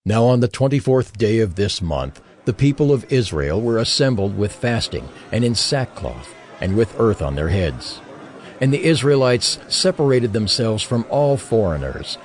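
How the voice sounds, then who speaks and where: A man reading scripture as the narrator of an audio drama, in a measured, formal manner. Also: on the low side.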